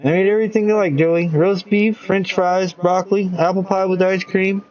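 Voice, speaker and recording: A woman's voice: mid-range voice, steady tone, normal speed, a little mellow, pitched above the performer's own voice, lightly acted. A man voicing a doting small-town mother who gushes over her son back from war. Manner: fussing, tearful, gushing. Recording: dull lo-fi recording.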